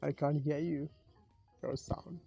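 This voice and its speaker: A man in a casual voice-chat app, speaking halting, non-native English and asking many questions. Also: a little high, little pitch movement, gravelly, warm tone, husky, muffled recording, faint music behind. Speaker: language learner in voice chat app.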